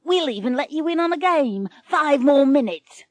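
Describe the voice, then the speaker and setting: A woman voicing a leering one-eyed male pirate captain who drawls slow, menacing threats. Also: sits high, expressive, dry recording, pitched above the performer's own voice.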